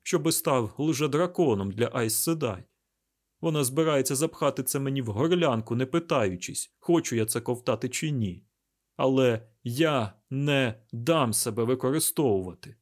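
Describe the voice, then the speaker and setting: A male narrator performs a burdened young fantasy hero who never wanted his lot. The voice is mid-pitched, earnest and hesitant, in an audiobook style. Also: lively intonation, moderate pace, lightly acted. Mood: indignant. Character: weary, troubled, reluctant young fantasy hero.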